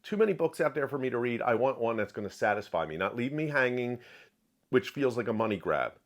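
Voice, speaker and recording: Animated man reviewing a book. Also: mid-range voice, fairly quick. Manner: emphatic, repeats phrases for stress. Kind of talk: opinionated book review to camera.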